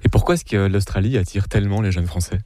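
Low mellow male interviewer. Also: a little hiss or hum. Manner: measured, reflective, hesitant. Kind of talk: interview questions.

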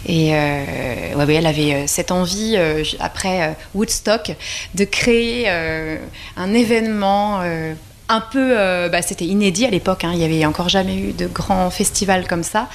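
Hesitant woman in her forties, low voice. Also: a little hiss or hum. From Brittany, France. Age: 40s. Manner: hesitant, many pauses and restarts. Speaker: singer, interview guest. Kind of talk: casual interview conversation.

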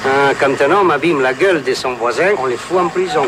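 An actor playing a blunt building worker who speaks out against cheap housing in a 1970s film. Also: male voice, medium pitch, fairly quick, background noise, close to an everyday voice. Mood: angry. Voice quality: blunt, outspoken. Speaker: construction worker.

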